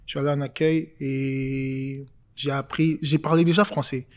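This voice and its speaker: A man in his twenties from Congo, talking casually as an interview guest, relaxed and hesitant with many fillers. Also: medium pitch, moderate pace. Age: 20s.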